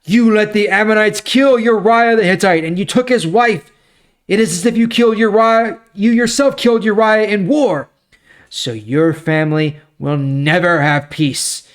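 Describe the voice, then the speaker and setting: A man acts out a stern prophet in a dramatic scripture reading. The voice is raised and forceful, declaiming accusations and pronouncing divine judgment with weight. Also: high-pitched, moderate pace, voice pushed up, close to an everyday voice. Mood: stern, accusing. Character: stern male prophet delivering God's angry judgment.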